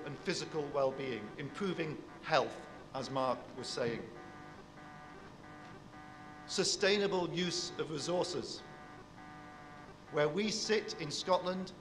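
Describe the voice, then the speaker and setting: Man, university speaker, big voice, slightly high, long hall echo, music underneath. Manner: warm, measured address to an audience, big voice. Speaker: university professor giving a speech. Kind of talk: formal public speech.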